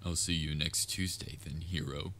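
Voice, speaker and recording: A man playing a theatrical supervillain who is soft-hearted and anxiously fond of his heroic nemesis, in an earnest, halting roleplay delivery with wry banter. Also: extremely low pitch, steady tone, a little hiss or hum. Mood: sly, playful. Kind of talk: comfort roleplay audio acting addressed to listener.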